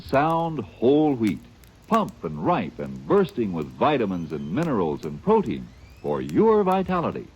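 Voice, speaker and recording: A man's voice: darkish tone, husky, muffled recording. A man delivering a folksy, hearty 1940s radio cereal commercial. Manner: folksy, hearty sales pitch. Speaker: commercial announcer. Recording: old radio broadcast.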